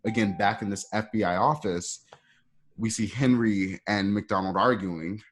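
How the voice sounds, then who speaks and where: A man serves as narrator for a scripted drama. His voice sits somewhat low as he reads out stage directions and sets each scene. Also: a little slow.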